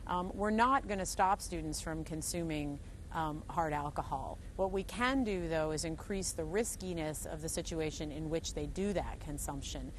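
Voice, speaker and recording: Woman professor being interviewed, lowish voice, slight background noise. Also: normal speed. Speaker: law professor. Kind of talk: interview remarks. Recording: faint ambient noise.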